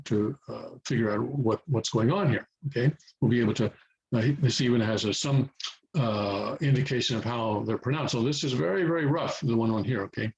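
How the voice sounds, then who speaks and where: A man's voice: medium pitch, a little mellow, low-quality recording. A man giving an informal, improvised beginner language lesson, patient and step by step, often searching for words and repeating himself for emphasis. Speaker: teacher explaining Korean writing to beginners.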